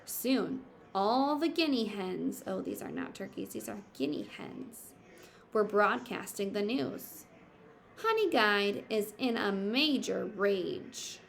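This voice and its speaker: A woman's voice: medium pitch, big pitch swings, slow, vocal fry. A woman reading a picture book aloud like a teacher, expressively, with simple explanatory asides for children.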